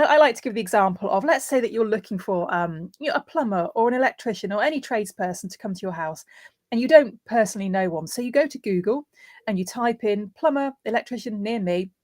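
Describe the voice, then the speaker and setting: A woman's voice: fairly high pitch, a little mellow, dry recording. A woman speaking as a guest voiceover artist, giving practical tips conversationally and illustrating points with everyday examples.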